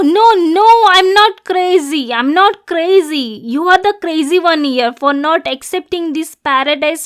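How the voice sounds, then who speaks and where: A woman playing an obsessive, lovesick demon girl in an ASMR roleplay, clingy and possessive, addressing the listener directly. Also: sits high. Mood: frantic, furious. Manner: breathy, clingy, swinging between tender and domineering.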